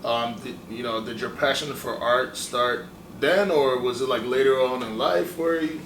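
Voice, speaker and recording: A young man from Ghana hosting a relaxed, chatty interview with plenty of fillers. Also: mid-range voice, normal speed. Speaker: host. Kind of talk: casual interview. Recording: echoey room, distant mic, faint hiss.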